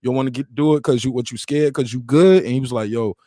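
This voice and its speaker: A man's voice: mid-range voice, quick pace, warm tone, dry recording, studio quality. A young man on a panel, chatting casually and telling stories with animation. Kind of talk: casual chat, animated storytelling.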